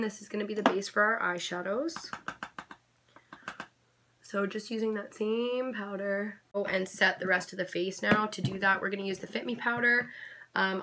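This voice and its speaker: A young woman giving a casual makeup tutorial in a chatty, gentle instructional way, her voice a little off because she is coming down with something. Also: medium pitch. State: slightly sick, voice a bit off. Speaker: makeup vlogger.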